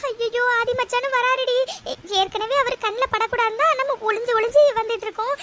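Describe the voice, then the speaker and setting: Anxious young woman of the household acted by a woman: high voice, fretful, colloquial, noisy recording. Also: steady tone, a little fast, pushed and bright. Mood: panicky. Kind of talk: melodramatic comedy audio drama.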